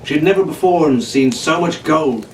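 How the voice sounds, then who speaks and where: A man's voice: fairly high pitch, expressive, moderate pace. Male storybook narrator for a children's adventure, roomy and set back from the mic. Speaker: storybook reader. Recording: roomy, distant mic, faint background noise.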